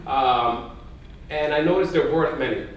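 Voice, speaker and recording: A man from Puerto Rico, a news executive on a conference panel, telling energetic stories and dropping in Spanish phrases. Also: a little high, normal speed. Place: large conference hall. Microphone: distant mic in an echoey hall. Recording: echoey hall recording from far off, faint noise.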